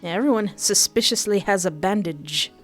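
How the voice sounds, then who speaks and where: A woman's voice: normal speed, faint music behind. A woman reading a visual novel aloud, voicing the narration and the dialogue expressively. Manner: expressive, shifts pitch for each character.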